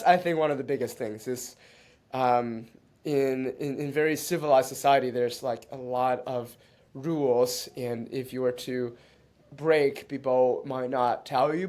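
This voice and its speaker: A man born in China and raised in Canada, speaking as an interview guest in a reflective, halting way with many restarts and frequent laughs. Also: mid-range voice, darkish tone.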